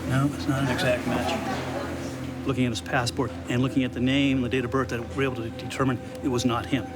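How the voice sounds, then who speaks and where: Quick, official-sounding officer, man. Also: mid-range voice, level tone. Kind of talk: interview explanation. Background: loud background music.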